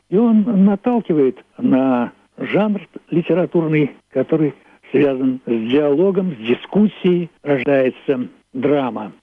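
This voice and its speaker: A man's voice: fairly high pitch, slightly rough, dry recording. Elderly man on a muffled phone line. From Russia (Leningrad). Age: 80s. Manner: measured, thoughtful, literary phrasing. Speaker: playwright and author, interviewed about his play. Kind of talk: reflective radio interview monologue.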